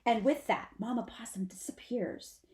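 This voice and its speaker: A woman narrating a children's story aloud in an expressive read-aloud style. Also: fairly high pitch, moderate pace. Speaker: storybook reader. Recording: roomy, echoing sound.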